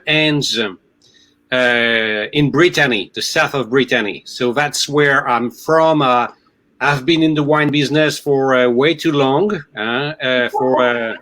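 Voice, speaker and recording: Slow French-accented man on a video call. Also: mid-range voice, bright, forceful tone. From France. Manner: slow, hesitant, many filler sounds. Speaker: winery's US representative. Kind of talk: virtual wine tasting chat.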